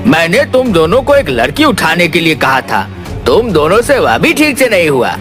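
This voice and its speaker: Man acting a fat bullying merchant villain, high-pitched for a man, wildly animated, menacing, cartoon story, music behind. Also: normal speed. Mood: angry, scornful. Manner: menacing, blustering, insulting.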